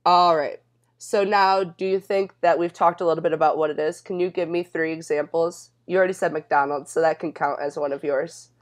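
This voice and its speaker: A woman's voice: low voice, steady tone, a little fast. A young woman conducting a student interview, talking informally and reassuringly as she puts questions. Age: young adult. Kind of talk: casual interview with scripted questions.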